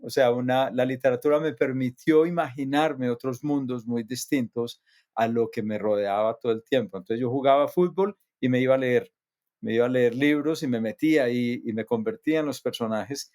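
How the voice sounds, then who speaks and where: A man's voice: medium pitch, moderate pace, a little mellow. A man from near Medellín with a Colombian accent, a guest telling his life story in a thoughtful way with many hesitations. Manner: thoughtful, frequent hesitations and restarts. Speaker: guest, psychotherapist and actor. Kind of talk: reflective personal storytelling.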